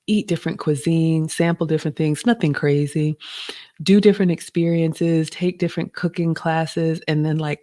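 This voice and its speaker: A middle-aged woman with a slightly deep, raspy voice, casually hosting a live stream and reading viewer questions aloud. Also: dark tone, dry recording, clean studio sound.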